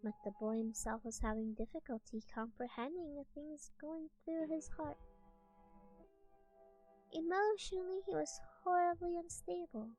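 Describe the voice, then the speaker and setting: A woman giving a soft-spoken ASMR reading of a story in a gentle, deliberate manner. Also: high voice, faint music behind, rough recording. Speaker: streamer reading a visual novel aloud.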